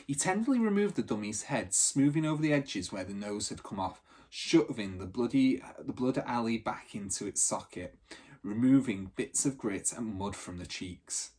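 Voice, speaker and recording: Husky-edged man reading a storybook. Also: fairly low pitch, expressive, moderate pace, a little mellow. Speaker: teacher reading a children's book aloud. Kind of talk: expressive storybook narration.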